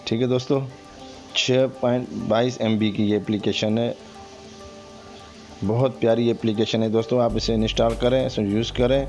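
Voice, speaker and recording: A man's voice: mid-range voice, little pitch movement, darkish tone, soft background music. A man hosting a tech tutorial and narrating an app review, often addressing his viewers as friends. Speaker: host of a tech tutorial channel.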